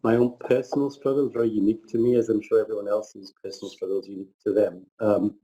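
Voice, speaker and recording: A man's voice: lowish voice, little pitch movement, quick pace, dark tone, low-quality recording. A middle-aged man from northern England, speaking reflectively as a panelist with frequent restarts and hesitations. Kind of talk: panel discussion, personal story.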